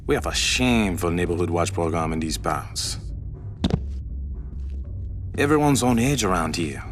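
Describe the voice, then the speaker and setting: An actor playing a suave, guarded, shrewd club boss in a video game, speaking in a measured, cagey, wry way. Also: male voice, low voice, lively intonation, background noise. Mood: suspicious. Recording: game cutscene with loud music. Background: loud game music.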